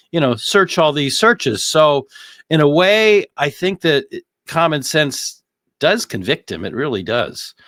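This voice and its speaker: A man's voice: medium pitch, fairly bright tone, dry recording, clean studio sound. A man hosting conversational legal commentary on a criminal trial, repeating phrases for emphasis. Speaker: host of a legal commentary show.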